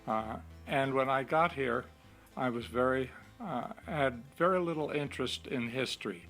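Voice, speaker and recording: An older man reflects in an interview with a gravelly, creaky voice. He speaks in deliberate, halting phrases while faint guitar music plays behind him. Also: medium pitch, fairly bright tone.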